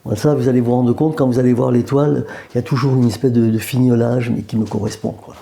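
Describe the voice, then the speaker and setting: A man's voice: medium pitch, level tone, quick pace, gravelly, warm tone. A man from Algeria, a painter, chatting in an interview in a gallery, with many hesitations and joking asides. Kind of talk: casual interview. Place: art gallery room.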